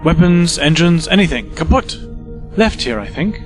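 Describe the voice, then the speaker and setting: A man acting a brisk, resolute time-traveling adventurer in an audio drama, speaking decisively. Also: a little high, big pitch swings, soft background music. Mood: brisk, determined. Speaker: actor.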